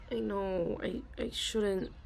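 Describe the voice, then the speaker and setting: A young woman in a soft ASMR role-play, confiding hesitantly as an anxious office worker, sighing and stopping to restart her sentences. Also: medium pitch, steady tone, gravelly, slight background noise. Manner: hesitant and self-deprecating, with frequent sighs and halting restarts.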